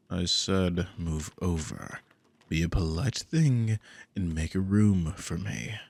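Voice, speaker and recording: A man's voice: sits low, gravelly, husky, no room sound, exaggerated voice. A man playing a dominant, possessive demon in an ASMR roleplay, mockingly amused and condescending, with teasing, formal, theatrical speech. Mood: stern, commanding. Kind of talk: ASMR audio roleplay spoken to the listener.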